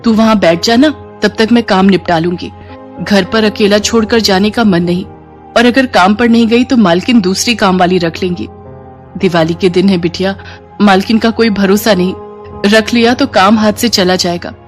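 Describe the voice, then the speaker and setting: Woman doing poor maid mom in a bedtime story, meek, gentle, kinda anxious, music behind. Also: medium pitch, steady tone, normal speed, natural voice. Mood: caring, worried. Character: humble, anxious housemaid and caring young mother.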